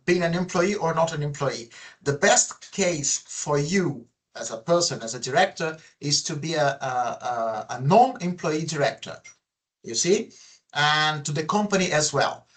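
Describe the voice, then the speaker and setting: Brazilian-accented man moderating, slightly high voice. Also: fairly bright tone. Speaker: discussion moderator. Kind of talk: moderating an online professional panel discussion. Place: online video call.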